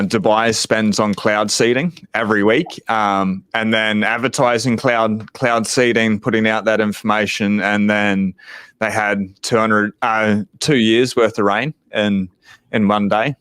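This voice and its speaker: A man's voice: on the low side, fairly even intonation, a little slow, fairly bright tone, clear, resonant tone, dry recording. A man hosting a casual livestream chat, rambling and laid-back, swearing now and then. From Australia. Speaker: livestream host.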